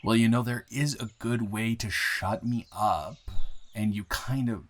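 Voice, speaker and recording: A man playing a nervous, smitten new guy in town in an improvised romantic audio roleplay, halting and playfully teasing. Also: medium pitch, lightly acted.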